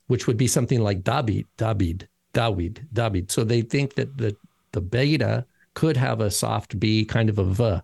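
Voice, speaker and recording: A man's voice: mid-range voice, vocal fry, a little mellow, dry recording, clean studio sound. A man co-hosting a podcast who explains letters and sounds in a casual, lecturing way. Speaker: podcast co-host and teacher.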